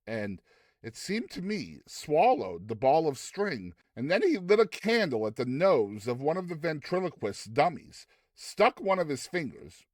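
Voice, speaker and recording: Guy reading an old short story, theatrical storyteller style, measured, really lively pitch, dry close sound. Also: dry recording. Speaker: narrator, reader. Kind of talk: dramatized audiobook narration of classic fiction.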